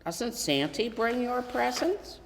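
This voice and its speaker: A woman's voice: on the high side, animated, slow, gravelly, voice pushed up, heavily acted. Curious, anxious little boy, read by a female storyteller, long hall reverb. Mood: eager, questioning.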